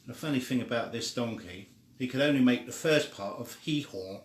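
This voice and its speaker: An older man reading a children's story aloud informally, with a halting, deliberate delivery. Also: mid-range voice. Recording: echoey room.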